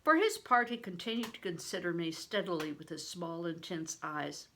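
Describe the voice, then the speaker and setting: A woman's voice: lowish voice, moderate pace, pushed and bright, slight background noise. An older woman, a retired reading teacher, narrating a storybook expressively and with a teacherly style.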